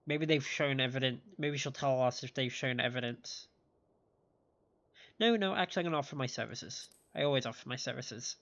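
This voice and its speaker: A man's voice: mid-range voice, fairly quick, fairly bright tone. A man doing casual live commentary as he plays a video game, sometimes reading dialogue aloud. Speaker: game streamer. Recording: dull, band-limited stream audio. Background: game music.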